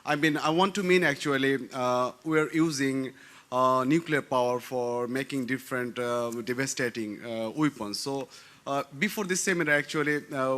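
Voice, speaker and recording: A man's voice: medium pitch, fairly bright tone. Hesitant man from Bangladesh asking a question. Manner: hesitant, many fillers, rephrases. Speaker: audience member asking a question. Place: conference hall.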